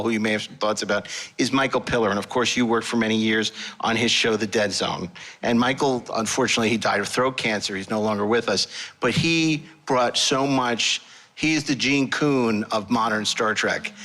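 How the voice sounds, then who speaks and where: A man's voice: medium pitch, pushed and bright, poor audio. A man interviewing guests as a podcast host, chatty and given to teasing, jokey asides. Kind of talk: conversational interviewing.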